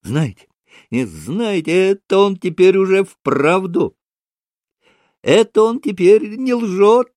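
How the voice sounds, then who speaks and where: A man voicing an excitable, childlike young gentleman in a storybook, exclaiming eagerly and argumentatively in a ringing voice. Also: a little high, measured pace, no echo, clean studio sound, voice pushed up, heavily acted. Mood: delighted, exclaiming.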